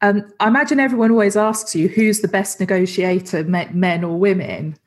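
A woman's voice: medium pitch, steady tone, brisk. A woman hosting an interview, responding thoughtfully and asking conversational questions. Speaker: interview host.

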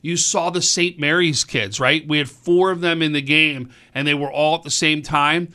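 Man, booming sports-radio regular, eager and rambling, bright forceful tone. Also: moderate pace. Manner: excited, rambling, repeats words. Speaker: high school sports commentator and event organizer. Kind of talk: casual radio sports talk.

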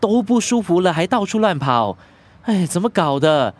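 A man's voice: sits high, very animated, normal speed, no room sound. A man with a Singaporean accent playing an anxious, impulsive, remorseful young husband in a radio drama. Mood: worried, remorseful.

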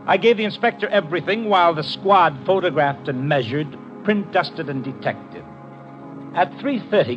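An actor playing a brisk, tough detective's assistant, wry and hardboiled, in a 1950s radio drama. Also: male voice, a little high, lightly acted. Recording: muffled vintage radio with music.

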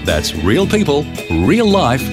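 A man reading a scripted show introduction in a slow, emphatic station voice. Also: medium pitch, wildly swooping pitch. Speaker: show announcer. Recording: guitar music underneath.

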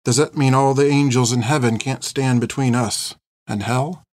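A man's voice: a little high, very animated, brisk, no room sound, voice pushed up. A male audiobook narrator voicing a stubborn, inquisitive young girl in earnest tones. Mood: hushed, troubled. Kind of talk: audiobook character acting.

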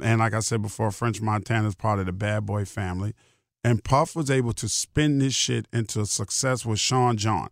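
A man's voice: on the low side, no room sound, clean studio sound. A middle-aged American man hosting a solo podcast, talking casually in a rambling, emphatic way with repeated phrases and salty slang. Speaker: podcast host.

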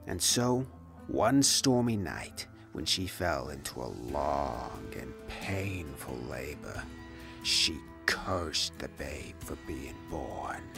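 A man's voice: a bass voice, big pitch swings, slow pace, creaky, a bit bright, heavily acted. A man playing a grave, theatrical presenter, ominously recounting a monster legend in a dramatic documentary style. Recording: music bed under the voice.

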